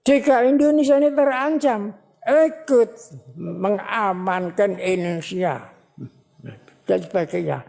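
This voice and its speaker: Slow-preaching woman in a reverberant hall. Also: mid-range voice, gravelly, a little mellow. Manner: slow, repetitive, emphatic preaching. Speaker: religious teacher. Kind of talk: sermon at a ceremony.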